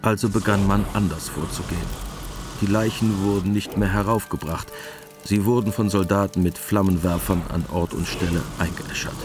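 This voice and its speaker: A middle-aged actor playing a weary, wry war veteran, narrating a literary radio drama in a laconic, resigned way. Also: male voice, low-pitched, steady tone, normal speed, over music, lightly acted.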